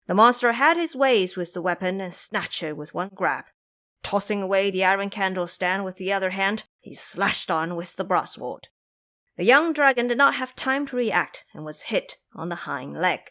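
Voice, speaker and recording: A woman's voice: medium pitch, expressive, moderate pace, pushed and bright. Woman narrating a fantasy tale dramatically. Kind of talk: scripted dramatic storytelling narration.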